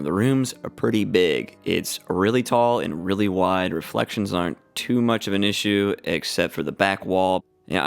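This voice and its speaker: A man's voice: deep voice, vocal fry, clean tone. A man who works as a sound engineer, narrating a scripted explainer as a voice-over.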